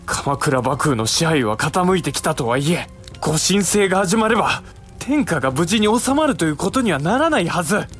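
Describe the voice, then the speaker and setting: An actor playing the earnest, outspoken younger brother of a samurai lord, in formal, polite archaic speech with music behind, in a period audio drama. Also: male voice, on the high side, big pitch swings, normal speed, a bit bright, breathy edge, heavily acted. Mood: probing, reproachful.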